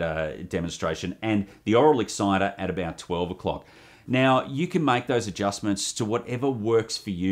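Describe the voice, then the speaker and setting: Upbeat Australian man, lowish voice. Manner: enthusiastic, upbeat presenter delivery. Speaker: presenter reviewing a microphone. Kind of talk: product review demo. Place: home studio desk. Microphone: USB condenser on boom arm with pop screen.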